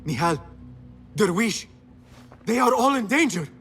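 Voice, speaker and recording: Casting: young hot-headed thief, game drama, lighter pitch, widely swinging delivery, music underneath. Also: male voice, big pitch swings, measured pace, a bit bright, exaggerated voice. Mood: urgent. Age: young adult. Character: impulsive, earnest young male thief in medieval Baghdad. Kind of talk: dramatic video game cutscene acting.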